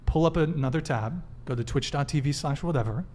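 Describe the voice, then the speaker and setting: A man hosting a live podcast, running through announcements and promos in a brisk patter with fillers. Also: fairly high pitch, a little mellow. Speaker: podcast host.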